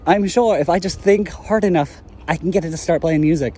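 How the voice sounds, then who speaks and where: A man's voice: fairly high pitch, fairly quick, dark tone, a little hiss or hum, lightly acted. A man playing a brash, stubborn, kind-hearted hero in an audio drama, talking in emphatic, rambling slang. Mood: playful, stubborn.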